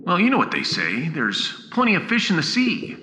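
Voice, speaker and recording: An actor playing an affable, battle-tested starship captain with a wry, informal, expressive manner in a science fiction audio drama. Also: male voice, a little high, normal speed, heavy background noise. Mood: wondering.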